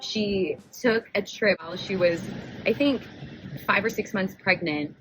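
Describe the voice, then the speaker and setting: A woman's voice: medium pitch, measured pace, music in the background, low-quality recording. A young woman in her twenties, hosting a podcast and telling a personal story in a chatty, rambling way, often correcting herself and laughing. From United States. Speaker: podcast host.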